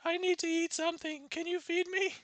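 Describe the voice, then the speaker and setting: A man voicing a wolf disguised as a hungry grandmother in a high falsetto. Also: little pitch movement, a little fast, fairly bright tone, dry recording. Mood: wheedling. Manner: high falsetto old-lady voice, ravenous.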